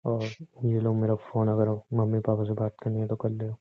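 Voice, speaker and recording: A man's voice: on the low side, monotone, moderate pace, a little hiss or hum, close to an everyday voice. A man playing a defensive husband in an audio drama, swinging between grudging apology and accusation in conversational speech. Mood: placating. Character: defensive, short-tempered husband, grudgingly apologetic, nagging his wife. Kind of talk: Hindi audio drama dialogue, conversational acting. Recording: muffled, phone-like narrow sound.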